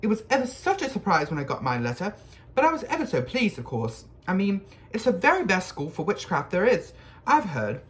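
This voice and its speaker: A reader putting on a bossy know-it-all schoolgirl who talks in a rush, her voice lifted high and skipping up and down with lively swings. She sounds eager to prove herself, one sentence tumbling into the next in this storybook telling. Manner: rapid, eager, pitched high.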